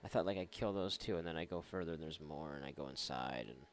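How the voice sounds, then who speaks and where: A man's voice: a bass voice, fast, a little hiss or hum. A man giving casual, rambling and sarcastic let's play commentary, swearing freely as he goes. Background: video game ambience.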